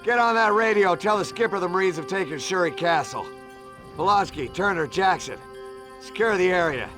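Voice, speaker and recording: A tough American marine sergeant with a slightly high-set voice. He leads his squad in a war game with firm, matter-of-fact orders, music prominent behind him. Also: lively intonation, normal speed. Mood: satisfied, firm. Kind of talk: dramatic military game cutscene acting.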